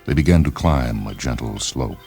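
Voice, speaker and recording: A man narrating a science fiction short story in a measured, literary storytelling manner. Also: rumbling low, expressive, darkish tone, breathy edge. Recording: music behind the voice.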